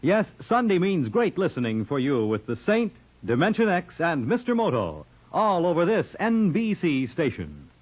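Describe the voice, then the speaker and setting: A man's voice: on the high side, huge pitch swings, moderate pace, few pauses, husky. A man working as a radio announcer, reading scripted promos in 1950s broadcast style. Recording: muffled old radio recording.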